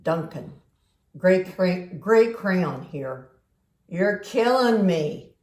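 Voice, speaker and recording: Storybook crayon, overworked and exasperated female reader, slow, mellow, drawn-out complaints, roomy echo. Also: lowish voice, animated, slight background noise. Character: weary, overworked gray crayon pleading for a break. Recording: roomy, echoing home recording.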